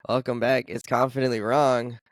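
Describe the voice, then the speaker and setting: A man in his forties hosting a casual podcast chat, his voice hoarse from coughing, joking and laughing often. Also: medium pitch, level tone, moderate pace, dry recording. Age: 40s. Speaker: podcast host.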